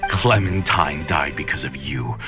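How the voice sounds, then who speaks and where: An actor playing a bitter, vengeful older traitor in a video game, gravelly and theatrical. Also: male voice, extremely low pitch, unhurried, over music. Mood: bitter, grieving rage. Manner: theatrical, accusatory. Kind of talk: scripted video game villain acting.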